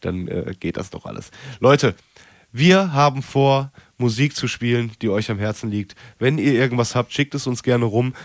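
A man's voice: medium pitch, animated, normal speed, no echo. A man hosting a casual, comedic radio show in a rambling, slangy, jokey way.

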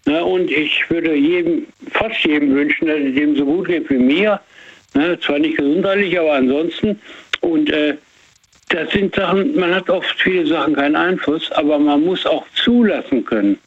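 An older man calling in to a radio show, talking slowly with many fillers and a rambling, reflective manner, over a phone line. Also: fairly high pitch, slightly rough. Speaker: caller. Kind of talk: casual phone chat.

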